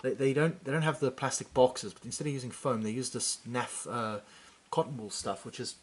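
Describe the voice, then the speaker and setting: A man's voice: mid-range voice, fairly even intonation, brisk, husky. Rambling man. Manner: rambling, many fillers and restarts; gets livelier when showing figures up close. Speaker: hobby channel host. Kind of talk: casual chat about miniature figures.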